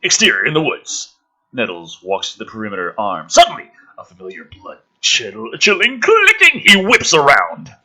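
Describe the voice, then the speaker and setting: A man's voice: mid-range voice, huge pitch swings, normal speed, pushed and bright, husky, rough recording. A man giving a ranting, sarcastic commentary as he reads a screenplay aloud, profane and exasperated. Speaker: host of a movie script review.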